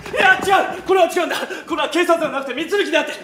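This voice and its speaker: A man's voice: very high voice, very fast. A male stage actor playing a panicky, bumbling man who stammers out flustered excuses in a comic play. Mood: panicked. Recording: echoey theatre with audience noise.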